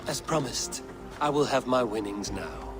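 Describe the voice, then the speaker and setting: A man playing a cool, quick-witted young Viking warrior in a video game, with a dry, cutting manner. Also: mid-range voice, expressive, music in the background, close to an everyday voice. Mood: satisfied.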